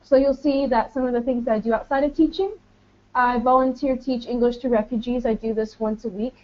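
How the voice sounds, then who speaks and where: A woman's voice: on the high side, steady tone, fairly quick, darkish tone. A woman in her twenties with an American accent, introducing herself informally as a teaching assistant on an online webinar. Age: 20s. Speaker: English teaching auxiliary speaking as a webinar panelist. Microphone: online webinar call. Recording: dull lo-fi internet call audio.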